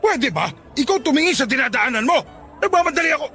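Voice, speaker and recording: An actor playing an eager, coaxing middle-aged ex-boyfriend in a radio drama. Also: male voice, very high-pitched, quick pace, vocal fry, full character voice. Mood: annoyed. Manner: coaxing, excitable. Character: pleading middle-aged male ex, cheeky and eager. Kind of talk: Tagalog radio drama acting. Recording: music bed behind voice.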